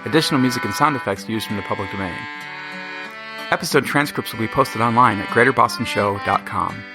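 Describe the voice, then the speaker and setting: A man reading the end credits of an audio drama in a brisk, even promotional tone. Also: on the low side. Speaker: credits announcer. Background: plucked guitar music.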